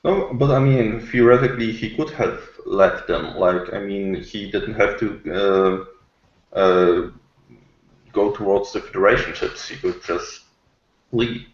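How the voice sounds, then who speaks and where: A man on a discussion panel, making his points in a hesitant, deliberate, casual way. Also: lowish voice. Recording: echoey, dull lo-fi room audio.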